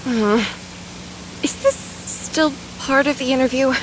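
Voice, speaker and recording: A woman's voice: high-pitched, slow pace, noisy background. A woman voice-acting a proper, saintly young woman in a video game, earnest, polite and precise in her way of speaking. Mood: hesitant, puzzled.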